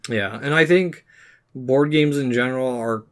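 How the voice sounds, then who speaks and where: A man's voice: medium pitch, measured pace. Man co-hosting a casual podcast chat. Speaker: podcast co-host. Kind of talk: casual chat about games and movies.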